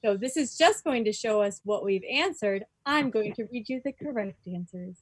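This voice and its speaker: A woman's voice: medium pitch, animated, moderate pace, breathy edge, no echo. A woman hosting an informal quiz for a group, making announcements in a playful, mock-formal manner. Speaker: quiz host at a club meeting.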